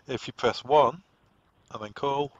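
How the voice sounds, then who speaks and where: A man talks through a technical setup in an informal, explanatory way, as if thinking aloud. His pitch sits in the middle of the male range. The recording is dry and somewhat dull, with a lo-fi sound. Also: measured pace, dry recording. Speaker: product trainer giving a setup walk-through. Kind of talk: informal software tutorial narration.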